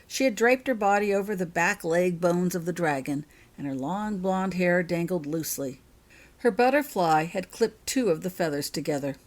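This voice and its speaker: A woman's voice: fairly low pitch, animated, moderate pace. A woman reading her own fiction aloud as an audiobook-style story narration.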